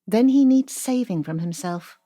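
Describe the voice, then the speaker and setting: A woman's voice: medium pitch, animated, moderate pace, mellow, faint music behind, lightly acted. An actress playing a pragmatic, ambitious female student in an audio drama, dry and matter-of-fact. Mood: brisk, ambitious. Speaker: actor.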